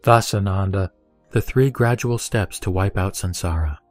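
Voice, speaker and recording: A man reads Buddhist scripture as a scripted recitation, in measured, deliberate short phrases. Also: low-pitched. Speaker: sutra reciter. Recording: music bed behind voice. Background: background music.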